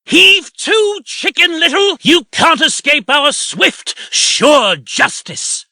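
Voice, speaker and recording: Shrill, bossy little creature. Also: male voice, big pitch swings, takes it slowly, sharp tone, dry recording, clean studio sound, heavily acted. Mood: bossy, triumphant. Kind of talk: comic dub voice acting.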